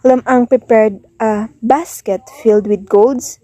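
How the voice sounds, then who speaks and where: Slow, mellow woman narrator. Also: a little high, fairly even intonation, dry recording. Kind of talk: scripted storytelling narration.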